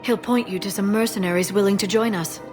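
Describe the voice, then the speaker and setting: An actress playing an earnest, composed young alien woman in a video game, measured and serious with gentle delivery. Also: medium pitch, fairly even intonation, music in the background, natural voice. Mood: businesslike. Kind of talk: scripted game dialogue.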